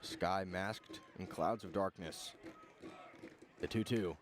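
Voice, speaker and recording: A man's voice: low-pitched, slow. A man calling live baseball play-by-play on the radio in clipped phrases, following the action as it unfolds.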